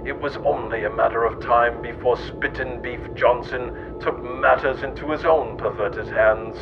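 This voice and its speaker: Man narrating through muffled, loud rumbling noise. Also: steady tone, a little slow, creaky. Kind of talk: absurdist scripted narration, mock history.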